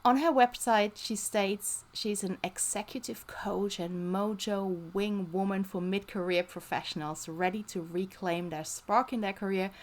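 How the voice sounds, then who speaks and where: Woman host, mid-range voice, bright upbeat intro, then easy interviewer. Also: medium pitch. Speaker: podcast host. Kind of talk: podcast hosting and interviewing.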